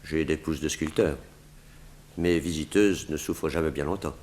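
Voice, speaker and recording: Very deep, subdued entranced man. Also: normal speed, darkish tone. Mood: entranced, submissive. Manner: subdued, trance-like, obedient echoing. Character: entranced, submissive male sculptor, a murderous puppet of his master. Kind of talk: 1960s French radio drama acting.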